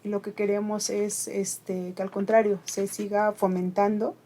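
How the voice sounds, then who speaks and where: A woman's voice: mid-range voice, little pitch movement, a little slow, mellow. Earnest woman with a Mixe accent. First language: Ayuujk (Mixe). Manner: earnest, reflective, with frequent fillers like este. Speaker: radio host. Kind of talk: conversational radio talk.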